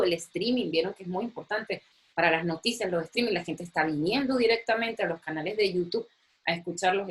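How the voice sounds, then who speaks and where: Chatty woman lecturing. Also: mid-range voice. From Chile. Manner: chatty, enthusiastic, repeats words and uses many fillers. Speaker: university lecturer. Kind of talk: recorded online lecture with screen sharing.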